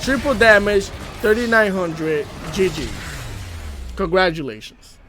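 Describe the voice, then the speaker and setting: A man's voice: sits high, expressive, measured pace, faint music behind. A man with a stuffy, congested voice giving hype, slangy commentary over card game replays. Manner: hype, slangy trash talk. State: congested from a cold. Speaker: gaming YouTuber. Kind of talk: casual gameplay commentary.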